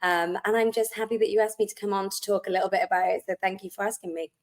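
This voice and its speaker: Welsh woman guest chatting easy, lots of ums, middle-pitched voice. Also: little pitch movement, rapid-fire. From South Wales. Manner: friendly, chatty, with frequent ums and "kind of". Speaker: interview guest, wellness business founder. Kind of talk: casual interview chat.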